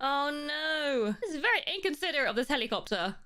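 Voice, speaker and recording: Young woman, high voice, nasal with a cold, chatty, reacting at a desk mic. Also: big pitch swings, quick pace, pushed and bright, slight background noise. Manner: chatty, laughs often. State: stuffy nose from a cold. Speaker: film reaction YouTuber. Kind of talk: casual reaction commentary. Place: home streaming room, indoors. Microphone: desk mic on boom arm, in-ear monitors. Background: film audio and score.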